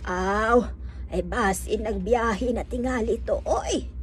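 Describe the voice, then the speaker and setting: A woman's voice: fairly high pitch, takes it slowly, slight background noise. A woman playing a chatty, nosy village friend in a radio drama, exclamatory and gossipy. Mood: reassuring.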